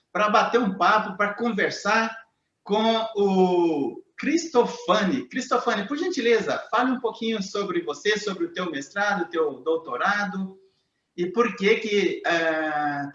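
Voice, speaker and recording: High-voiced male interviewer, echoey. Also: animated, a little slow, fairly bright tone. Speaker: interviewer and channel host. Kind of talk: interview questions. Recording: echoey, dull lo-fi sound.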